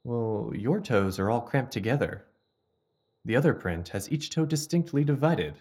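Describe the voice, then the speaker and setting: A man playing a polite, earnest gentleman in a Victorian detective audio drama, speaking courteously and formally. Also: medium pitch, expressive, moderate pace, breathy edge. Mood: observant.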